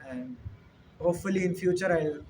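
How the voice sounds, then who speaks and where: A young man from India, a native Hindi speaker with an Indian accent, explaining things informally in an earnest, slightly halting way. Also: high-pitched, lively intonation, noisy background. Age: young adult. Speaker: dairy technologist answering questions. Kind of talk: informal question-and-answer explanation.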